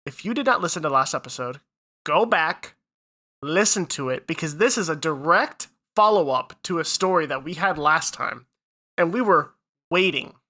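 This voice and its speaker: A man's voice: on the high side, animated, normal speed, pushed and bright. A man hosting a casual podcast chat, sniffly from a head cold, upbeat and running the intros and plugs. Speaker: podcast co-host.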